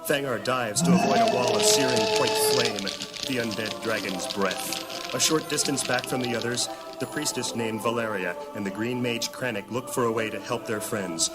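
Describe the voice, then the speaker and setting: A man's voice: mid-range voice, moderate pace, loud music behind the voice, lightly acted. A man performing as a grave storyteller, giving dramatic scripted narration of a fantasy audio drama.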